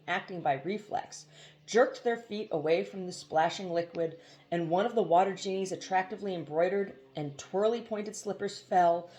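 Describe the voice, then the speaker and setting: A woman reading a novel aloud as expressive storybook narration, with a casual touch. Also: mid-range voice. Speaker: audiobook reader.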